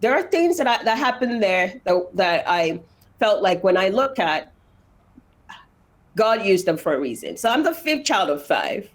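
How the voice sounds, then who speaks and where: A woman with a Nigerian accent tells her own story as an interview guest. She talks earnestly and leans on repeated phrases to drive each point home. Her pitch moves freely up and down, sitting at a middle height. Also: sing-song, a little slow.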